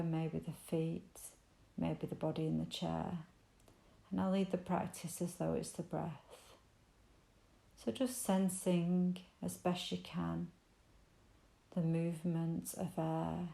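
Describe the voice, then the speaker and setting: Low, gravelly, soothing woman guiding meditation. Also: echoey room, poor audio. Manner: gentle, soothing, unhurried pauses, invitational. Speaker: meditation guide. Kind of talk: guided body scan meditation.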